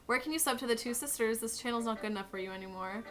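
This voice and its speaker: A woman's voice: on the high side, rapid-fire, over music. A woman streamer giving casual, joking commentary while playing a game, laughing often.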